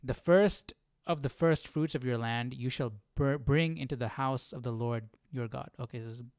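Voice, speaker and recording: Clear, dry-sounding man thinking aloud. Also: mid-range voice, normal speed, darkish tone, no echo. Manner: questioning, repeats phrases, checks in with "right?". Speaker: host of a live Bible study stream. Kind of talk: casual Bible study commentary, thinking aloud.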